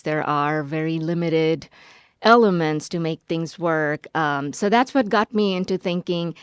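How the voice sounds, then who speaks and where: A woman's voice: lowish voice, clear, resonant tone, no echo, studio quality. A woman from Bangladesh, a city planning director as a podcast guest, speaking earnestly and reflectively with searching restarts. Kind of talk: podcast interview.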